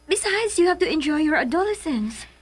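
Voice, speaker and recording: A concerned mother in a radio drama. Her voice is high and very melodic as she coaxes and reasons. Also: sing-song, moderate pace. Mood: cautioning. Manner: coaxing, admonishing. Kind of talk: Filipino radio drama acting.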